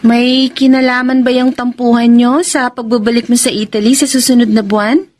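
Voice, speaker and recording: Woman acting filipino younger sister, really high voice, earnest and nosy, radio drama style. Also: fairly even intonation, normal speed, a little mellow, close to an everyday voice. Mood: curious. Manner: probing, earnest, reproachful.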